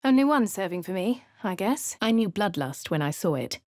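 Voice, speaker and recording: An actress with a posh English accent voicing a composed female adventurer, reading game narration and voice lines in a crisp, dry manner. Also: medium pitch, very animated, moderate pace, darkish tone, no echo, close to an everyday voice. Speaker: voice of the player character.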